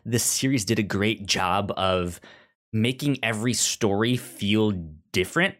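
A man co-hosting a casual review chat, talking haltingly and often stammering over repeated words. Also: on the low side, a bit bright. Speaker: podcast co-host reviewing audio drama.

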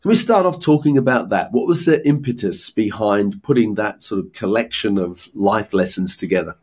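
A man hosting a podcast, who reads a prepared intro and then chats with his guest in a measured, earnest way. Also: on the low side, darkish tone, breathy edge. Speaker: podcast host. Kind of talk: scripted podcast intro, then casual interview.

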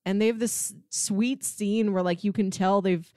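A woman co-hosting a podcast, casually discussing a film in an animated storytelling way. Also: medium pitch, measured pace, no echo, clean studio sound. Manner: animated storytelling, acts out characters' lines. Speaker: podcast co-host.

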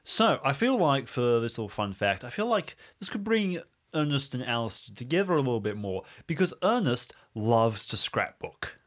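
A man's voice: mid-range voice, sing-song, normal speed, a bit bright. A man bantering casually as a podcast player, playful and mock-serious. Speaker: podcast regular, improv role-play player.

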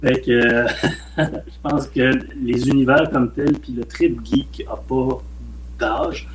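A man in his late forties with a Québécois French accent, a film director talking in a relaxed, rambling way as a podcast guest. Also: mid-range voice, slow pace, slight background noise, poor audio. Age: late 40s. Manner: relaxed, rambling, frequent "euh" and "mettons". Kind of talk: casual podcast interview.

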